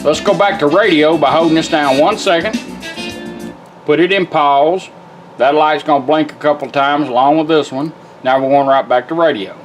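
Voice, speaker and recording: A man's voice: on the high side, normal speed. A man giving an informal, plain-spoken, hands-on product demonstration. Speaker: product demonstrator, car radio builder. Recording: loud music behind voice. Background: car radio playing music.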